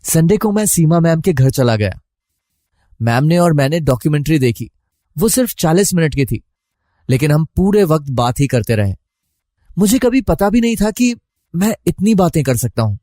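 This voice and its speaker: A man's voice: fairly high pitch, sing-song, moderate pace, warm tone, dry recording, studio quality. A young man narrating a scripted first-person story as a college student.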